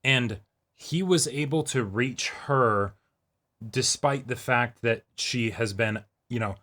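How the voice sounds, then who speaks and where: A man's voice: medium pitch, takes it slowly, a bit bright. A man co-hosting an anime discussion show, chatting casually in a speculative, hedging way. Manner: speculative, hedging, interjects agreement. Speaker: co-host of an anime reaction and analysis show.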